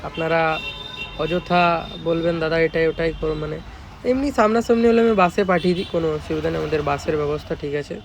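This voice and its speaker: A man's voice: a little high, a little fast, creaky. A man with a West Bengal Bengali accent casually showing products in a vlog, informal and often checking in with listeners. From West Bengal, India. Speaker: speaker repair shop owner and presenter. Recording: noisy, with vehicle and outdoor ambience.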